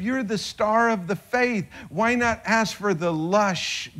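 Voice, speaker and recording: A man in his fifties preaching a sermon from a church stage, animated and gesturing, his voice rising when excited. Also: high-pitched. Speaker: pastor. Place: church stage with band instruments.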